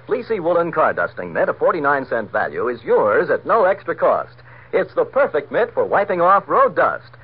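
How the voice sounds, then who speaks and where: A male announcer delivers a vintage 1940s radio sales pitch. His fairly high, slightly husky voice swings with great animation, on a muffled old broadcast recording.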